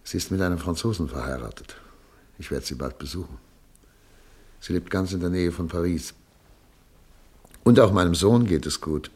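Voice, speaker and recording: A man playing a weary older exiled doctor in a radio play, speaking with quiet, deep resolve. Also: moderate pace, long pauses, breathy edge. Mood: lonely, warm. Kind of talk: 1950s radio play acting.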